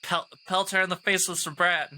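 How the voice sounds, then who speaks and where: A man's voice: high-pitched, flat delivery, brisk, sharp tone, rough recording. A man chatting casually and playfully as a player in a tabletop roleplaying game.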